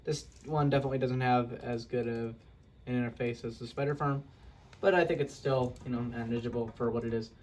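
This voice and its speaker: A man's voice: mid-range voice, fairly even intonation, fairly quick, a little mellow, slight background noise. A young man casually walking through a game build, rambling and correcting himself with self-deprecating asides. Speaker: Minecraft video creator. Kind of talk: casual gameplay walkthrough.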